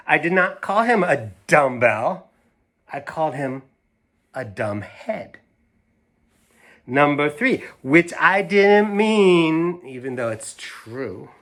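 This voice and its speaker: A man reading a storybook as an indignant, stubborn little girl, speaking emphatically and deliberately. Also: fairly high pitch, fairly bright tone, pitched above the performer's own voice, heavily acted. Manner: emphatic, deliberate, self-righteous. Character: indignant, stubborn, dramatic little girl narrating her grievances.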